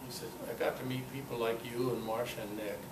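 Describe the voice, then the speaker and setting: An older man giving an informal talk at a club meeting, telling personal anecdotes in a halting, conversational way. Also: medium pitch, steady tone. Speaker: guest speaker at a club meeting. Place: meeting room, in front of an audience. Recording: echoey, noisy room recording from far off.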